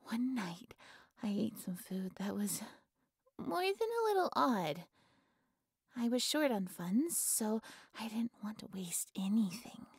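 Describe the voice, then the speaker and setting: A woman playing a giddy, devoted cultist who worships her captive, soft and breathy, often slipping into whispers, in a gushing, reverent audio roleplay monologue. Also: a little high, big pitch swings, slow, dry recording, clean studio sound, heavily acted. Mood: earnest confiding.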